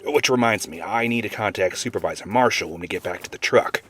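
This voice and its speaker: A male actor plays a weary wildlife agent in an audio drama, the gruff senior partner. His voice sits very deep and he explains things gravely and evenly. Faint music runs underneath. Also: brisk, fairly bright tone, natural voice. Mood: grave, explanatory.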